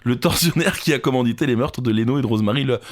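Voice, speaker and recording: A man's voice: mid-range voice, rapid-fire. A man co-hosting a quiz podcast, telling trivia in an informal, jokey way. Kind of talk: casual quiz banter with trivia anecdotes.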